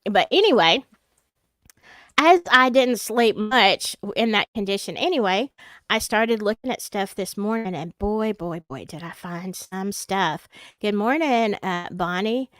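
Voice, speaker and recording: A woman's voice: mid-range voice, expressive, measured pace, a bit bright, dry recording. A woman with a Southern US accent who hosts a true crime livestream. She sounds congested from a sinus infection and talks in a chatty, rambling way, full of fillers and asides. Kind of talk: casual livestream chat.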